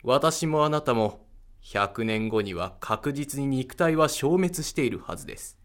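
A man playing a calm, courteous, enigmatic figure in an audio drama, speaking in measured, fatalistic phrases. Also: mid-range voice, close to an everyday voice. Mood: grave, explanatory. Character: calm, enigmatic, courteous man who knows ancient secrets.

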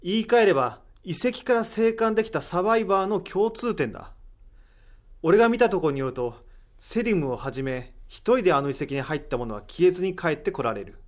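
A man playing a thoughtful, analytical character in a voice drama, deliberate and explanatory, posing rhetorical questions. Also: sits high, animated. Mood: confident, explaining. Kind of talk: amateur voice drama acting.

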